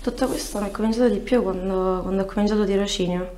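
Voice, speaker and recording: A woman's voice: mid-range voice, steady tone, fast talker, mellow. A woman, a patient giving hesitant conversational answers during an examination, in a roomy recording. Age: 20s. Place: small treatment room, indoors. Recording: roomy, echoing, clearly noisy pickup.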